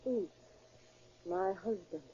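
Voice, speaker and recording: A woman's voice: on the low side, lively intonation, slow, warm tone, a little hiss or hum. A woman playing a respectable, trusting wife, earnest and theatrical in a 1930s radio melodrama. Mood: anguished. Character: respectable, trusting wife shocked by husband's crimes. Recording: dull 1930s radio recording.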